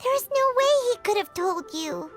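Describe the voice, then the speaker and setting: An actress voicing a small, childlike, serious character in a fantasy game, speaking earnestly and formally. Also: female voice, very high voice, lively intonation, moderate pace, faint music behind. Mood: hesitant, regretful. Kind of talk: dramatic video game acting.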